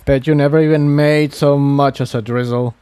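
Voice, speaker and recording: A man voicing a gruff, scornful male pegasus pony instructor in a fantasy story reading. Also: mid-range voice, fairly even intonation, moderate pace, mellow, no echo, lightly acted. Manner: curt, scornful. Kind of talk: fan fiction story reading.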